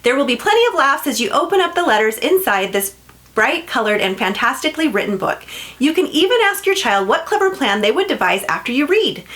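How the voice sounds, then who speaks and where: Female promo narrator, high register, swooping intonation, upbeat scripted pitch for a family audience. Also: sing-song, brisk, fairly bright tone. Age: adult, parent of a school-age child. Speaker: children's book seller and reading coach.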